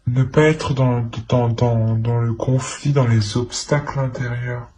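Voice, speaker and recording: A man's voice: medium pitch, fairly even intonation, a little slow, dark tone, a little hiss or hum. A man giving an improvised tarot reading to the viewer, speaking hesitantly and often repeating his phrases. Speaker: tarot reader.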